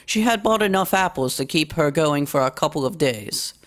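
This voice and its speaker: A woman's voice: sits low, animated, normal speed. A woman reading fiction aloud as a scripted story narration. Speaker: story reader.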